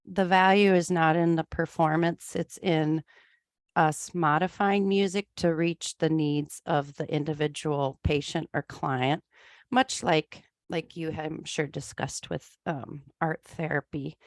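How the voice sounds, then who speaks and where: Gravelly low-voiced woman from Iowa. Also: steady tone, a little slow, dry recording, studio quality. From Iowa, USA. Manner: easygoing, frequent ums, light laughs. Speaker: music therapist, guest. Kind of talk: conversational interview answers.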